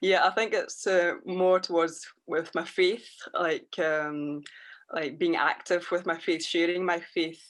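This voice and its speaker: A young woman in her twenties answering interview questions softly, with many ums. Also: fairly low pitch, steady tone, unhurried. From Scotland, raised in France. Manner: soft-spoken, many fillers. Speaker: interviewee, youth worker. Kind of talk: conversational interview.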